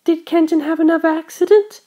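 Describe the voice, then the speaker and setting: A spoiled little aristocratic schoolgirl, acted out by a woman for an audiobook. The voice is pitched high, with a vain, bossy manner and an indignant edge. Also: fairly even intonation, brisk, mellow. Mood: accusing. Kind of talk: audiobook character voice.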